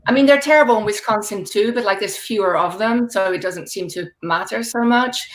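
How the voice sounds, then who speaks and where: Deadpan Dutch-born woman comedian. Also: medium pitch, brisk, low-quality recording. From Netherlands. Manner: dry deadpan delivery with laughing asides. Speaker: stand-up comedian. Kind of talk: stand-up comedy set. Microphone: video call.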